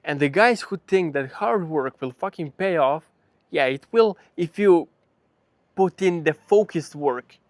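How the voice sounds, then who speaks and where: Serbian guy vlogging motivational stuff, kinda high voice, blunt and sweary, really dry sound with no echo. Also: animated, unhurried. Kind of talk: casual motivational talk to camera.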